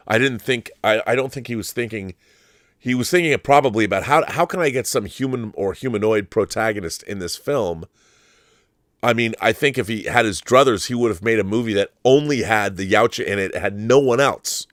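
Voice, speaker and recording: A man's voice: lowish voice, a bit bright, no room sound, clean studio sound. Effusive, rambling man reviewing films. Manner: effusive, emphatic, sweary, rambling. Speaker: host of a film commentary show. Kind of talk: casual opinionated movie review monologue.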